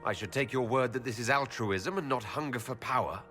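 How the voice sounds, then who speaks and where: A man's voice: medium pitch, brisk, a bit bright, long reverb tail, faint music behind, close to an everyday voice. A man playing a wary, questioning elven leader in a video game, direct and controlled as he probes. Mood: suspicious. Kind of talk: dramatic video game dialogue.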